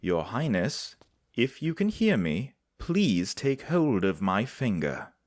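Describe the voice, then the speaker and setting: An actor playing a learned, perplexed physician in a fantasy audio drama, with formal, measured wording and a gravelly, husky voice. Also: male voice, lowish voice, sing-song, dry recording. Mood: urgent. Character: perplexed, learned male physician tending a prince.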